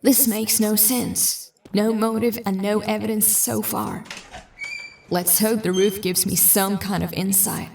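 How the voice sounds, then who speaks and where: A determined female detective in a sci-fi audio drama, played by a woman. She thinks aloud in a dry, sceptical way, with a fry-edged voice at middle pitch. The voice sits slightly away from the microphone. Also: flat delivery, measured pace, darkish tone, far from the mic, a little hiss or hum. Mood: frustrated musing. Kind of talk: audio drama dialogue and investigative monologue.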